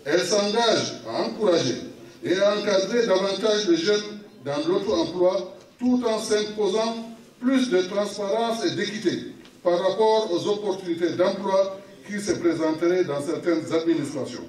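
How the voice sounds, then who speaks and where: A man with a Cameroonian accent solemnly reading a formal public declaration in short, measured phrases, as an official would. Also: high voice, a little mellow. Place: municipal hall at a public meeting. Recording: echoey hall, distant microphone.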